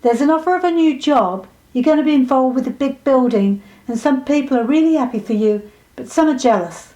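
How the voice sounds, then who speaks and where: A woman's voice: high voice, a little fast, warm tone, pitched above the performer's own voice, lightly acted, rough recording. A woman voicing a blunt, theatrical older woman psychic who pronounces fortunes colloquially in a storybook reading. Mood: ominous. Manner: colloquial, pronouncing, calls listeners dear.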